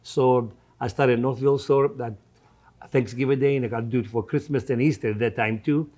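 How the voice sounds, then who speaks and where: An older man in his seventies with a Greek accent and a soft, mellow tone at a middle pitch. He is a restaurant owner answering interview questions in non-native English. He talks conversationally and tends to repeat his phrases. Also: steady tone, fairly quick. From Greece. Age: 70s.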